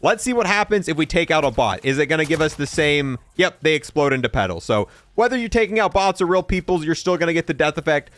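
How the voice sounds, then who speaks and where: A man's voice: fairly high pitch, very animated, quick pace, few pauses, fairly bright tone. A young man giving casual, informal gameplay showcase commentary as a video host, in a matter-of-fact way.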